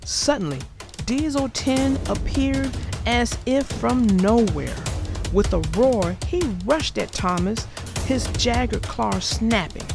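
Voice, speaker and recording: A woman narrating a scripted children's read-aloud as an expressive storyteller. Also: on the high side, takes it slowly, vocal fry. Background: music.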